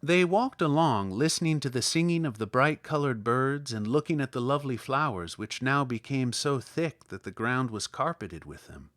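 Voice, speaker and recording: A man reading a children's story as an expressive audiobook narrator. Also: mid-range voice, moderate pace, few pauses.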